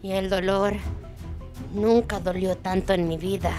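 A woman's voice: medium pitch, fairly even intonation, a little slow, close to an everyday voice. A woman with a Latin American Spanish accent voicing a young, hesitant but plucky girl bat pony in a cartoon comic fan dub. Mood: hesitant, recalling. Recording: music under the voice.

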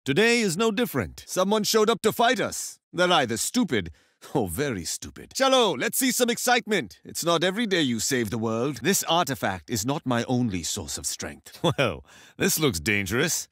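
An actor playing a confident, good-humored Indian soldier hero in a video game, with an Indian accent and a wry, expressive delivery. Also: male voice, a little high, moderate pace, no room sound, clean studio sound, full character voice. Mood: confident, rousing, then wry, playful. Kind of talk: game voice lines, short tactical callouts and quips.

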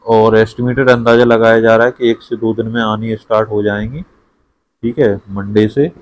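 A man's voice: on the low side, level tone, normal speed, muffled recording. A young man giving a casual screen-share walkthrough as an education YouTuber, chatty and often adding "okay" to check in. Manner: chatty, repeats "theek hai" often.

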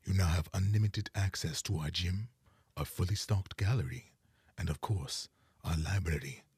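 A man playing a stern, commanding superhero mentor in a cartoon fandub, gruff and husky, with formal authority. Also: deep voice, normal speed, darkish tone, no echo, clean studio sound, heavily acted. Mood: formal, solemn.

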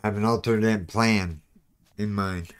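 A man's voice: lowish voice, steady tone, unhurried, smooth. A man hosting a gaming channel, chatting casually to viewers as he plays, with something clogging his throat. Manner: chatty, talks to viewers while playing. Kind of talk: casual gameplay commentary.

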